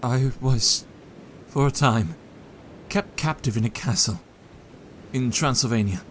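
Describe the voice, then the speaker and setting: An actor playing a haunted husband and former captive in an audio drama, speaking in a halting, troubled way. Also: male voice, mid-range voice, slight background noise, natural voice. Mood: haunted, fearful.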